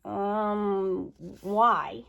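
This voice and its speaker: A woman voicing an excitable, curious young female pig in a storybook, asking questions in a dramatic, exclamatory way. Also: medium pitch, extremely slow, gravelly, dull audio, full character voice. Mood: puzzled.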